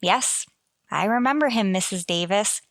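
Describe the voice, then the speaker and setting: An actress playing a wry, unlucky high school teacher in a scripted radio sitcom, with dry, self-deprecating wisecracks. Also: female voice, expressive, normal speed, dry recording, lightly acted. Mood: dry, resigned. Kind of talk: scripted radio sitcom acting with first-person narration.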